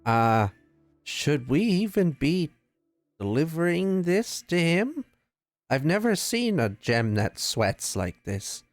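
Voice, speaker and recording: A man's voice: a little high, sing-song, slow pace, dry recording, soft background music. A man playing a tabletop roleplaying game, talking casually and thinking aloud with hesitant questions.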